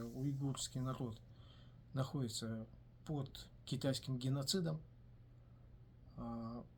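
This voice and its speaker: A man giving a solo video commentary in a measured way, pausing often with hesitant filler sounds between phrases. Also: mid-range voice, a little hiss or hum. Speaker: commentator on his own video channel. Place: based in the United States.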